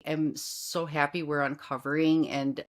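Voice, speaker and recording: A woman's voice: low-pitched, level tone, unhurried. A woman hosting a podcast in a casual interview chat, gushing and effusive as she talks. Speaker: podcast host.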